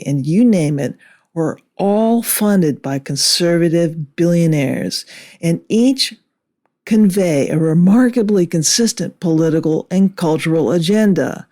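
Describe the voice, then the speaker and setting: A woman delivering scripted political commentary in a measured, deliberate way with emphatic phrasing. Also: on the low side, mellow. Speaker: video commentator.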